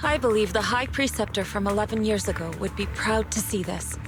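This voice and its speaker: Woman acting a composed martial master, loud music. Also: medium pitch, a little fast, lightly acted. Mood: composed, resolute. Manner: composed, formal. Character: calm, steadfast female martial sect master. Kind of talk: scripted video game acting. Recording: loud game music behind.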